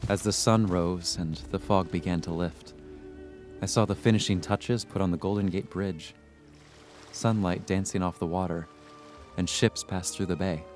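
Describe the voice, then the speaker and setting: An actor playing an earnest, loyal young grandson on the run in a radio drama. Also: male voice, deep voice, fairly even intonation, moderate pace, a little mellow, soft background music, close to an everyday voice. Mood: wistful.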